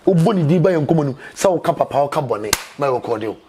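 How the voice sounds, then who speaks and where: A man's voice: fairly high pitch, expressive, quick pace, warm tone. A Ghanaian-accented man, around his thirties, speaking emphatically as an interview guest and often repeating himself. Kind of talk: animated conversational interview, switching between two languages. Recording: clear background noise, ambient sound.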